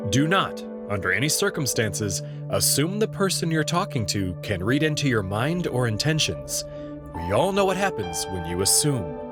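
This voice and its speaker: A man delivering scripted narration and commentary in an expressive, articulate storytelling manner. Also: medium pitch. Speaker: narrator and host.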